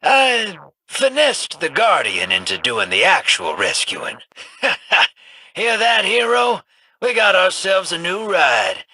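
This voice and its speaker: A man's voice: high-pitched, huge pitch swings, slow, sharp tone, husky, no echo, heavily acted, poor audio. A man voicing a wry, roguish smuggler in a video game, who teases and boasts with sly humor. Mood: teasing. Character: smooth-talking roguish male smuggler.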